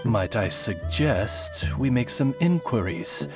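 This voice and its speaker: A devoted, dignified servant creature in a video game. The voice is extremely low and slow, with measured, courtly formality. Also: female voice, very animated, music in the background, full character voice. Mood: courteous. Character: dignified, devoted servant familiar of a young princess. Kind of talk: animated video game dialogue.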